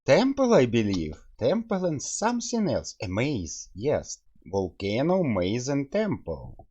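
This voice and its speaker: A husky-voiced man comments casually on a game he is reviewing. His speech is slow and comes in short thinking-aloud reactions, with very wide swings in pitch. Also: wildly swooping pitch, darkish tone, highs cut off.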